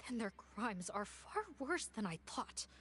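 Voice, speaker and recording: A woman voicing a grave, serious investigator in a video game, speaking deliberately. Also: mid-range voice, very animated, a bit bright. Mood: grave, shocked. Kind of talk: scripted video game dialogue.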